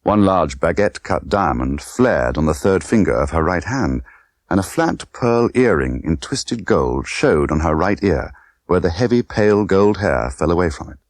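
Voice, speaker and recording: A man's voice: low voice, expressive, normal speed, no echo, clean studio sound. A man reading a novel aloud as scripted dramatic narration. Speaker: audiobook narrator.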